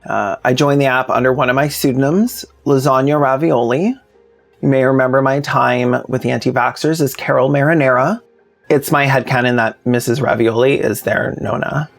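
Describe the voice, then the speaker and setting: A man's voice: mid-range voice, steady tone, normal speed, vocal fry, soft background music. A man narrating a scripted commentary video in a plainspoken, informal way with sardonic asides. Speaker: video essayist, host.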